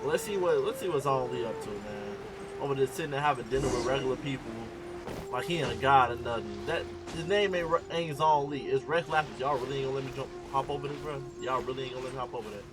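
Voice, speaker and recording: A man's voice: mid-range voice, quick pace, noisy background. An American man giving casual, colloquial game commentary with incredulous banter. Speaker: gaming reaction YouTuber.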